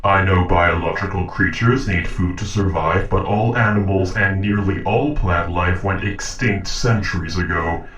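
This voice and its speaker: A man's voice: low-pitched, fairly even intonation, a bit bright. A man voicing a polite, logical robot in a scripted audio roleplay, speaking formally and precisely. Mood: curious. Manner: formal, measured, precise diction. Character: polite, logical humanoid robot interrogating a captive human. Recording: roomy echo, distant from mic.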